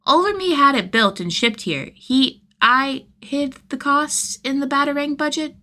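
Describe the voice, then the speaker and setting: A woman voicing an excitable, starstruck nine-year-old boy who rambles in an earnest rush for an audio story. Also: sits high, unhurried, pitched above the performer's own voice. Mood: matter-of-fact.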